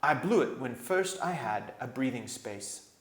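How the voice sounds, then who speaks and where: A man's voice: medium pitch, lively intonation, unhurried, reverberant, natural voice. A man voicing a beleaguered young king in a storybook, emphatic and exasperated. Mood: indignant.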